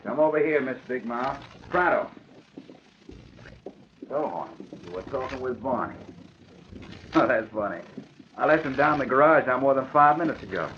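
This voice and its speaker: An actor playing a brutal, bullying small-time gangster in an old radio crime drama, rough and slangy. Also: male voice, mid-range voice, animated, moderate pace, long pauses, natural voice. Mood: bullying, sneering. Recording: muffled, noisy old radio recording.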